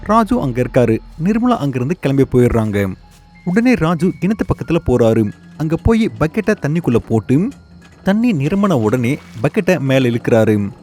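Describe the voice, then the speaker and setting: A man narrating a scripted cartoon horror story with dramatic, measured delivery. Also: medium pitch, very animated, darkish tone, soft background music.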